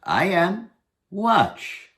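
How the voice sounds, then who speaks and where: A man's voice: high-pitched, sing-song, extremely slow, fairly bright tone, voice pushed up, heavily acted. A man playing a bold, wry, unafraid trickster hero in a storybook reading. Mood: taunting.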